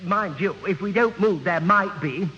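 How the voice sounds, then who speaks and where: A very high-voiced, prim gentleman in a radio comedy. He is fussy, formal and easily agitated, heard through a muffled, noisy old broadcast. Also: moderate pace. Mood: exasperated. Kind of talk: 1970 radio sitcom acting.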